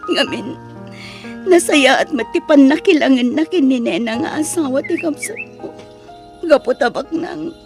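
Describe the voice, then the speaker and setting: A woman's voice: high voice, lively intonation, normal speed, gravelly, a little mellow, faint music behind. A woman with an Ilocano accent playing an apologetic, put-upon young wife in an audio drama, plaintive in manner.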